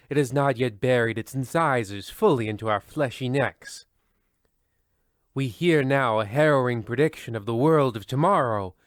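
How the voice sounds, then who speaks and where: A man's voice: medium pitch, no room sound, clean studio sound, lightly acted. A man playing a pompous, fussy radio horror host, delivering a theatrical, mock-grave monologue in an old-time style.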